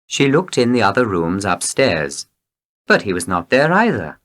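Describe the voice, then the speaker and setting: A man narrates a short, simple tale from a script. His voice sits fairly low and moves with very animated intonation, like a storyteller's. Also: moderate pace. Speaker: storybook reader.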